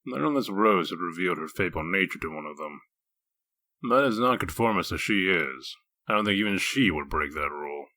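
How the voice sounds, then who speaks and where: A man playing a gruff, humorless wolf-man detective in a comic dub, curt, stern and deadpan. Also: sits low, fairly quick, no echo, heavily acted. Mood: brooding. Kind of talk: comic dub character acting.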